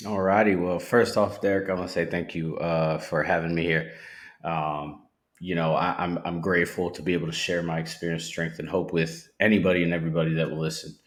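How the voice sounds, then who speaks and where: A man sharing his recovery story as a podcast guest, sincere and reflective, with pauses and restarts. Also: low-pitched, level tone. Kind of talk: personal testimony. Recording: roomy echo.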